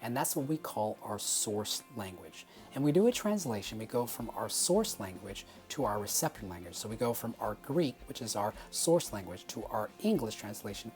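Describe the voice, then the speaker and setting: A man's voice: medium pitch, a little mellow. A man hosting his own teaching channel, talking casually to camera in an upbeat, emphatic way and repeating key points. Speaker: Bible teaching channel host. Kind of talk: casual explanatory talk to camera.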